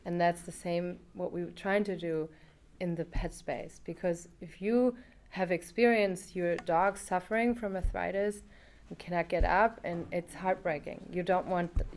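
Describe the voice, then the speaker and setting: A woman's voice: on the low side, steady tone, normal speed, a little hiss or hum. A woman guest in an interview, speaking earnestly with some non-native phrasing. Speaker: guest, pet supplement founder. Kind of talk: casual interview chat.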